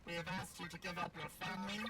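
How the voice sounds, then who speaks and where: Stern, measured female scientist voiced by a woman, lowish voice, loud score behind. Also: little pitch movement, music in the background, close to an everyday voice. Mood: solemn. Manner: solemn, measured. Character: stern female scientist addressing child recruits. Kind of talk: game cutscene flashback.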